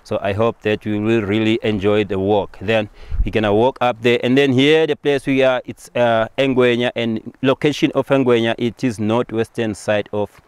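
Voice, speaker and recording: A man's voice: medium pitch, fairly even intonation, moderate pace, smooth, no echo. A man with a Southern African accent giving an informal guided tour, explaining things and repeating phrases as he goes.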